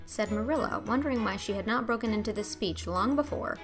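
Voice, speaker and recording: A woman's voice: fairly high pitch, brisk, faint music behind. A woman reading literary prose as an audiobook narrator.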